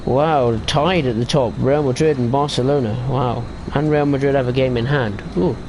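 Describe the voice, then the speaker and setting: Man, gravelly, casual gameplay chatter, faint game sounds behind. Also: medium pitch, fairly even intonation, normal speed, background noise. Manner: chatty, rambling about football tables while driving. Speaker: streamer playing a train simulator.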